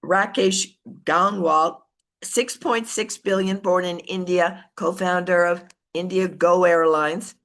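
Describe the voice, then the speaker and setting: An American woman with a slightly low voice speaks as a team leader to her people. Her delivery is conversational and informal, like a business talk given partly from notes. She often corrects herself as she goes. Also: steady tone, takes it slowly, a bit bright.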